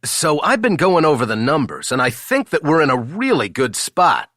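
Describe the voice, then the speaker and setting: A man voicing a brisk young male coworker who teases and needles in quick, chirpy bursts. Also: on the high side, sing-song, fairly bright tone, no echo, clean studio sound, pitched above the performer's own voice. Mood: teasing.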